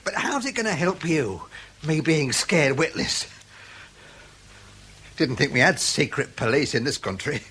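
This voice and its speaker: An actor playing a frightened, indignant husband held for questioning in a radio drama, husky, hesitant and protesting. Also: male voice, fairly high pitch, very animated, a little slow, long pauses, bright, forceful tone, heavily acted. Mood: scared, defiant.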